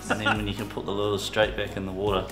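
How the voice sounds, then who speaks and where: A man's voice: on the low side, completely flat delivery, quick pace. A man with a New Zealand accent, talking about a fishing trip in an easygoing drawl, tagging lines with "eh". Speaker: fisherman. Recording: roomy sound with music behind.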